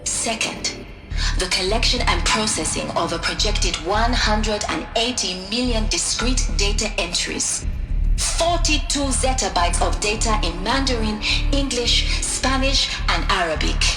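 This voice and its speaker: An actress playing a poised female archivist who speaks in a formal, articulate, measured way. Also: mid-range voice, lively intonation. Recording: roomy sound with music behind.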